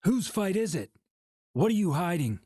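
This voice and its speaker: A man's voice: high voice, very animated, normal speed, dark tone, husky, dry recording, studio quality, exaggerated voice. An actor playing an angry, impatient young male warrior with a confrontational manner in a game cutscene. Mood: defiant. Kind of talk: dramatic game cutscene acting.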